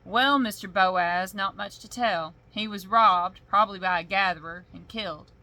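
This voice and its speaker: A woman's voice: medium pitch, normal speed, close to an everyday voice, rough recording. A woman voicing a defensive, overworked male village sheriff in a thick put-on drawl during a bedtime story reading. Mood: dismissive. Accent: put-on thick rural drawl. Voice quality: loud, indignant, rising when challenged.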